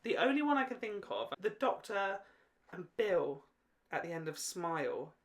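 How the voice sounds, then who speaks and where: A man's voice: high voice, big pitch swings, normal speed. A man co-hosting a podcast in casual chat, with a playful, emphatic, jokey way of talking. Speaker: podcast co-host.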